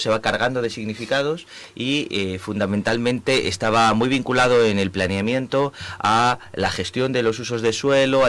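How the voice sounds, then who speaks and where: A man's voice: fairly low pitch, a bit bright. A man from Spain with a Spanish accent, a professor speaking as a guest in a radio interview, with many fillers and restarts. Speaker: guest, urban planning professor. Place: radio studio.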